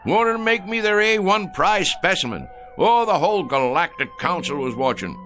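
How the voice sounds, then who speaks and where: A man's voice: high voice, very animated, moderate pace, pushed and bright, soft background music, full character voice. An actor playing a folksy rural American tall-tale teller in his sixties, drawling and rambling in a radio drama. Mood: insistent. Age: 60s. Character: folksy sixty-three-year-old tall-tale-telling rural man.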